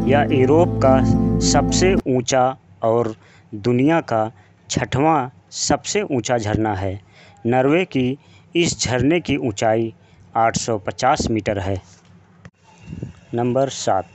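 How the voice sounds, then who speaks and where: A man narrating a countdown video as a voice-over, in slow, measured scripted narration. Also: mid-range voice, darkish tone. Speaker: channel narrator.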